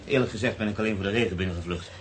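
A man acting in a radio drama as a brooding, sceptical storyteller, husky and gravelly, with a measured, literary, pensive delivery. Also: lowish voice, a little hiss or hum, close to an everyday voice. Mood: casual, curious. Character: brooding, sceptical man narrating his own uneasy story. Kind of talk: radio drama narration and dialogue.

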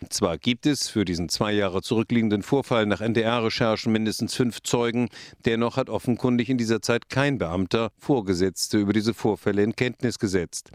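A male radio correspondent with a standard German accent reads a prepared report. His voice sits somewhat low and his delivery is sober and factual. The recording is very dry. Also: dry recording. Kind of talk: scripted news report.

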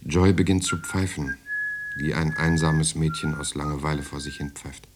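An actor playing a weary political prisoner who narrates his story in measured, intimate short phrases in a radio play. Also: rumbling low, flat delivery, background noise. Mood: tender. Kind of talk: scripted dramatic narration.

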